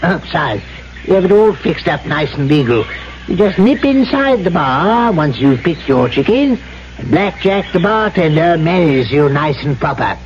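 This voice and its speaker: An actor playing a suave, sly, cynical gentleman trader in an old-time radio drama, speaking in a glib, florid, over-polite way. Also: on the high side, very animated, moderate pace, slight background noise. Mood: cynical, pushy. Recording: dull old radio recording.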